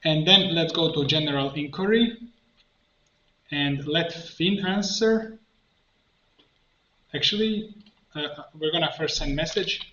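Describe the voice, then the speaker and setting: A man narrating a step-by-step software tutorial, thinking aloud in a halting way as he works. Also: on the high side. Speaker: tutorial instructor, coach. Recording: muffled, roomy, faint noise, keyboard clicks. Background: computer keyboard typing and clicking.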